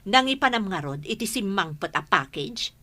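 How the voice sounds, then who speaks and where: A woman's voice: medium pitch, lively intonation, moderate pace. A woman playing a suspicious, domineering mother and mistress of the house in a radio drama, questioning sharply. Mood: indignant, suspicious. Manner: sharp, interrogating.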